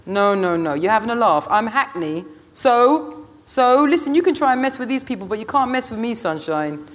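A man's voice: extremely high pitch, lively intonation, moderate pace, a bit bright, pitched above the performer's own voice. A man voicing a formidable middle-aged Rasta woman, an indignant, emphatic scolder in an audiobook story. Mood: defiant.

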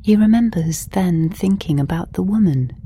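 A woman narrating a scripted story in an intimate, hushed and measured manner, pausing between short phrases. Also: lowish voice, a little hiss or hum. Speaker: narrator of an audio drama.

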